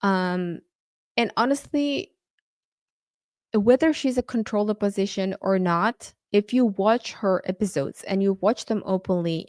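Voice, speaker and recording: A woman's voice: mid-range voice, darkish tone, no room sound, studio quality. A woman whose first language is Uzbek, talking earnestly in a podcast monologue, often stopping and restarting her sentences. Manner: earnest, halting with frequent restarts. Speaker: podcast host. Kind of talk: casual monologue.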